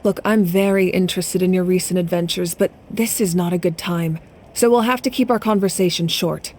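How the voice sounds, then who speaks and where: A woman playing a grave, resolute young leader in a video game, speaking in a measured, authoritative way. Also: fairly low pitch, darkish tone, slight background noise, lightly acted. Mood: brisk, serious. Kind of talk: dramatic video game dialogue.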